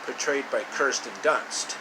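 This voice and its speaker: Slow, halting male storyteller, noisy room. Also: medium pitch. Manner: halting, repeats phrases. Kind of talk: improvised storytelling narration. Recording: loud steady room hum and hiss.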